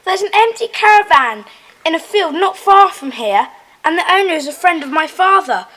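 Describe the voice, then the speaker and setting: A woman's voice: very high-pitched, expressive, normal speed, fairly bright tone, poor audio. A woman playing a helpful, earnest schoolchild in a children's audio drama.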